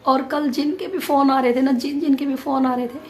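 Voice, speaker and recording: A woman vlogger chatting casually to viewers, her voice hoarse and nearly gone, pushing hard to get the words out. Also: high voice, steady tone, fairly quick, slight background noise. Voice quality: strained, forced voice.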